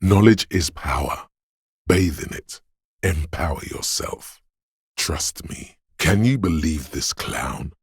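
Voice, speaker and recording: Very deep, gravelly, menacing inner voice. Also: male voice, lively intonation, dry recording, clean studio sound, exaggerated voice. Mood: aggressive, paranoid. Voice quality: gravelly, husky, breathy. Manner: slow, ominous, intense delivery. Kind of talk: dramatic video game voice acting.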